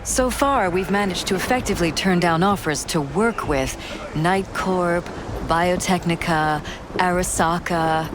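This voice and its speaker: An actress playing a poised, skeptical, weary politician's wife in a video game, composed and dryly matter-of-fact. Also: female voice, on the low side, measured pace, noisy background, lightly acted. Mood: weary, candid. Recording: music behind.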